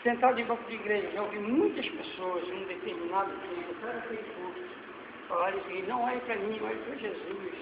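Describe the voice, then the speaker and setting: Forties male preacher, Brazilian accent, fervent then hushed, recorded roomy and far with faint hiss. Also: high-pitched, a little fast. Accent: Brazilian Portuguese. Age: 40s. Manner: swings between loud exhortation and quiet asides. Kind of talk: sermon with slides. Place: church hall. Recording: echoey hall, dull lo-fi sound, faint noise.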